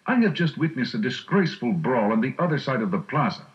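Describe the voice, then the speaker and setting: A man's voice: medium pitch, very animated, fast talker, voice pushed up, lightly acted. A man voicing a polite, coolly inquisitive aristocratic don in a storybook. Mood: coolly musing. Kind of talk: dramatised storybook reading. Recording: muffled storybook recording.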